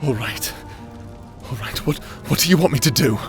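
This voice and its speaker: A man playing a proud, tormented captor under a curse in a dark fantasy audio roleplay, with a theatrical, anguished and imperious delivery. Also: mid-range voice, expressive, normal speed, soft background music, lightly acted. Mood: wary, demanding.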